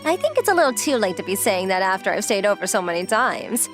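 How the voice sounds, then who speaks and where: A woman voicing a relaxed, playfully teasing, girlish young model in a manga dub. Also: mid-range voice, fast talker, soft background music, natural voice. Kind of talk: acted anime-style dialogue.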